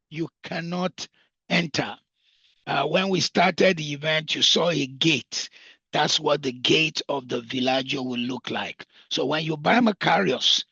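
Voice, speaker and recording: A Nigerian man with a high-pitched voice that is husky and rough at the edges. He talks as a developer pitching his estate unscripted, driving points home with repetition and lists. The recording is dry and somewhat dull. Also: a bit bright, no echo. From northern Nigeria. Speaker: property developer presenting an estate. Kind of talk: unscripted promotional talk at a roundtable.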